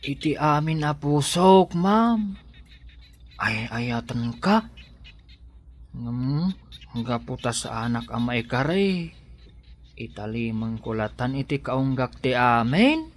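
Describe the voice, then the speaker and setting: A man's voice: medium pitch, big pitch swings, slow, pauses often. An actor with a Filipino accent playing a humble, devoted young suitor pleading his love in a radio drama. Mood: ardent, pleading. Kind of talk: Ilocano radio drama acting.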